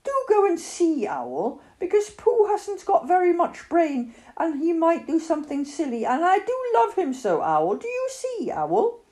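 A woman's voice: extremely high pitch, huge pitch swings, measured pace, hardly any pauses, warm tone, pitched above the performer's own voice, heavily acted. A woman voicing an eager, affectionate, earnest little boy in a children's storybook. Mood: anxious, fond.

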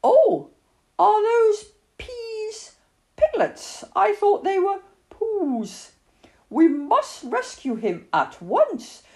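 A woman's voice: very high-pitched, sing-song, extremely slow, a little mellow, voice pushed up, full character voice. A woman voicing an eager, affectionate, earnest little boy in a children's storybook. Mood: urgent.

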